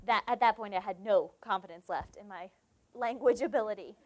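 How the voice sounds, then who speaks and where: A woman's voice: mid-range voice, brisk. A young woman from South Carolina with a Southern accent, giving a devotional sermon and telling a personal story, acting out the dialogue in it. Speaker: preacher.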